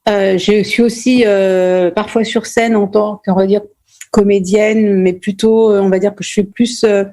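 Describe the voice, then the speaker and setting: Hesitant, mellow-voiced French woman. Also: medium pitch, level tone, measured pace. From France, Normandy. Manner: thoughtful, many hesitations and restarts. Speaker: playwright and stage director, guest. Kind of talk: interview answers.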